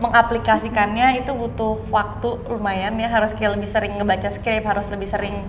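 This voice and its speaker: A woman's voice: mid-range voice, flat delivery, brisk, echoey room, big-room sound, a little hiss or hum. A young woman from Indonesia answering interview questions casually, mixing English words into her slangy talk. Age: young adult. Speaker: actress being interviewed. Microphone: clip-on lapel mic.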